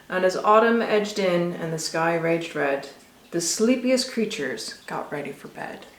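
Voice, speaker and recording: A woman reading a rhyming children's story aloud with expressive, rhythmic delivery. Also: fairly low pitch, measured pace, a little hiss or hum. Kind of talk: scripted storybook narration for children. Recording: echoey room.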